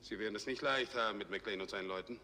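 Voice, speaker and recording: An actor playing a stern, commanding middle-aged space fleet general, clipped and formal, in 1960s German TV science fiction. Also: male voice, on the low side, fast talker, sharp tone. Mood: brisk, official. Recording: reverberant, noisy 1960s TV soundtrack.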